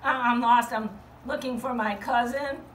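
A woman's voice: on the high side, steady tone, moderate pace, fairly bright tone, close to an everyday voice. A woman voicing a naive, hesitant young piglet, earnest and easily upset, in a children's animal story. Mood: lost, upset. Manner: hesitant, earnest, snorting oinks. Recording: roomy, distant mic with faint noise.

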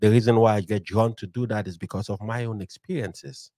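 A man in his forties, originally from Cameroon, speaking as a podcast guest in an animated, motivational style with frequent "right?" check-ins, recorded close on a studio mic. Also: lowish voice, brisk, dry recording. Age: 40s. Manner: animated, preacher-like build-ups, frequent "right?". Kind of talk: energetic motivational storytelling. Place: bookshelf-lined podcast studio. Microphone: studio mic on boom arm.

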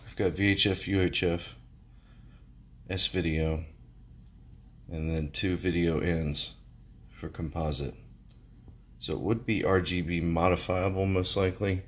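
A man's voice: deep voice, steady tone, unhurried, long pauses. An American man giving casual, folksy vlog commentary as the host of his own video. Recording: clear background noise.

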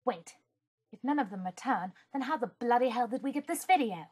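A woman's voice: fairly high pitch, animated, fairly quick, husky. A woman voicing a stern, haughty, withering commander in a parody cartoon. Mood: scornful. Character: stern, scornful aristocratic female commander. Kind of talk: fan-dub parody cartoon acting.

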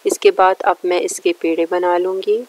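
Low, even-toned woman explaining a recipe. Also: normal speed, dry recording. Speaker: cooking show host. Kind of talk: recipe narration, step by step.

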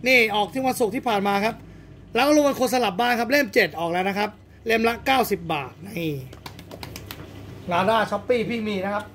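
A man's voice: extremely high pitch, a little fast, fairly bright tone, slight background noise. A man who owns a comic shop and hosts its channel, chatting casually and plugging his products while a card game goes on. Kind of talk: casual sales chat over a card game. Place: comic book shop, indoors.

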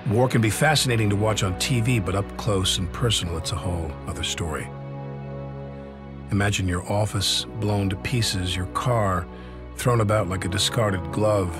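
A man acting a somber American soldier who narrates a war game cutscene. His voice is very deep, grave and deliberate, with music clearly behind it. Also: lightly acted.

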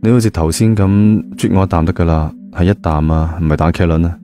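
A cheeky young Cantonese guard, acted by a man, speaks in a low, mellow voice. He is smug and flippant, in audio drama style with music behind. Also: fast talker, close to an everyday voice. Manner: teasing, flippant.

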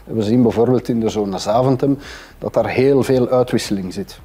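Husky, mellow Flemish man explaining. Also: medium pitch, moderate pace. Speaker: expert panelist. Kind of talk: explanatory conversation. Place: indoor café-style room, at a table.

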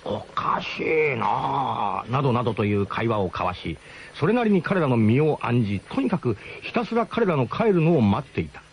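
A man's voice: mid-range voice, very animated, moderate pace. A man playing a camper who narrates a comic radio drama in a grandiose, mock-solemn way with colloquial turns. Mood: musing, expectant. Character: male camper grandly recounting his gang's ramshackle expedition.

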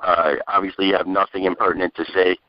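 A man's voice: deep voice, flat delivery, quick pace. A man moderating a group phone call, giving blunt, informal directions to callers. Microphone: telephone. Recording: dull phone line, crowded conference call.

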